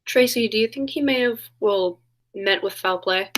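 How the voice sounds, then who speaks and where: Radio-play assistant , female actor: young woman, medium pitch, helpful, concerned; faint background noise. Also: moderate pace, lightly acted. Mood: uneasy. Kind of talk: 1940s radio play acting.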